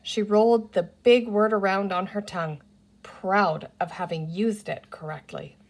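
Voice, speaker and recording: A woman narrating a children's adventure story as an expressive read-aloud storyteller. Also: medium pitch, unhurried.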